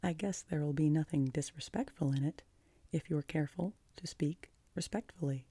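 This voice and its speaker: A woman's voice: low voice, moderate pace, mellow, husky, no echo. A woman voicing a stern, practical older guardian in an audiobook, firm, curt and disapproving. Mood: matter-of-fact. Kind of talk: audiobook character voice.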